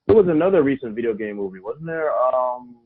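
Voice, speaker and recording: A man's voice: mid-range voice, expressive, a little fast. A man on a podcast panel bantering emphatically and dismissively. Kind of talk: casual chat. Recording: dry tinny phone-like sound.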